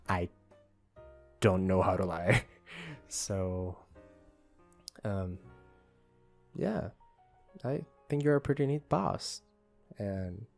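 A young man playing a shy, apologetic employee with a crush, speaking softly and hesitantly in an intimate ASMR roleplay. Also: deep voice. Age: young adult. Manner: hesitant, soft-spoken, halting. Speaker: voice actor. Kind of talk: ASMR roleplay, intimate one-sided conversation. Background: soft guitar music.

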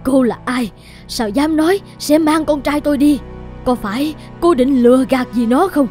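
A woman plays a poor cleaner and worried mother in a cartoon folk tale. She sounds emotional and protective, pleading in a fairly high voice with music behind. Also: moderate pace, darkish tone, close to an everyday voice. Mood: suspicious, upset. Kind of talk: animated moral fairy-tale dubbing.

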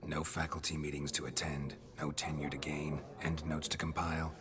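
An actor playing a weary, cynical monster hunter with a terse, deadpan delivery in a fantasy game. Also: male voice, a bass voice, moderate pace, crowd noise. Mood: dry, cynical. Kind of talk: fantasy video game dialogue acting.

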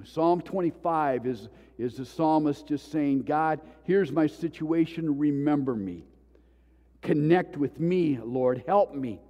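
A male pastor preaching a sermon with passion, swinging between loud pleas and hushed tones. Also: a little high, big-room sound. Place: church sanctuary.

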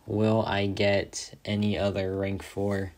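A young man with a raspy, hoarse voice, tired from a long day of talking, chatting excitedly and rambling over his game rewards. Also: on the low side, takes it slowly. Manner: excited, rambling, says "like" often. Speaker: mobile game content creator. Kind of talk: casual gameplay commentary.

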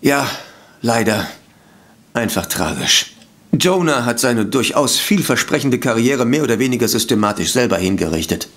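A man's voice: medium pitch, expressive, moderate pace, a bit bright, lightly acted. An actor playing a polite, defensive male sanatorium director in a detective radio play, speaking formally and hesitantly. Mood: feigned regret. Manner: formal, hesitant, self-justifying. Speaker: voice actor in audio drama.

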